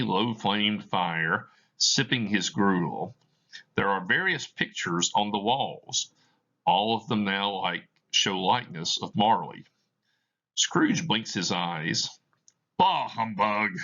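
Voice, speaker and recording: Very bright, creaky male narrator. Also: lowish voice, lo-fi sound. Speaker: reader of a play for students. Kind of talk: scripted narration of stage directions.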